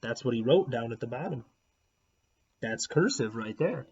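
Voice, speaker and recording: A man reading a children's storybook aloud expressively, pausing to explain things to his listeners. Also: mid-range voice, husky. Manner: animated, explanatory. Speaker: read-aloud narrator, teacher. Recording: dull, lo-fi recording.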